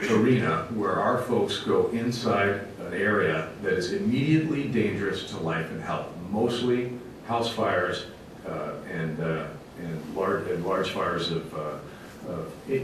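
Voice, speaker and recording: A man with a fairly low voice gives a public presentation as a fire officer. His delivery is emphatic and plainspoken, with a folksy turn. He is recorded at a distance in a very echoey meeting room. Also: lively intonation, unhurried. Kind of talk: public presentation to a council committee. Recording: very echoey room, distant mic, faint rumbling noise.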